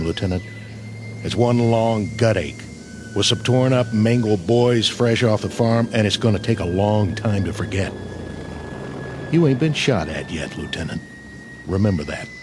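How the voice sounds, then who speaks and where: An actor playing a battle-hardened, skeptical army sergeant in a radio drama, plain-spoken, folksy and wry. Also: male voice, lowish voice, background noise, natural voice. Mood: weary, needling.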